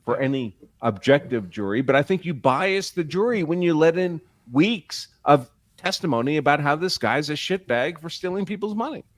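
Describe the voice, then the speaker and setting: A man's voice: a little high, expressive, moderate pace, hardly any pauses, no room sound. A man arguing emphatically in a live panel discussion as a legal commentator, posing rhetorical questions and repeating points for stress. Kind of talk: argumentative live discussion of a court case.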